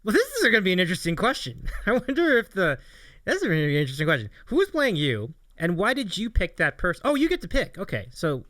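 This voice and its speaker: A man with an American accent hosts a casual livestream in a bright, fairly high voice. He is chatty and appreciative, with very lively intonation, in a dry recording. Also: quick pace, dry recording. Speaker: show host reading audience questions.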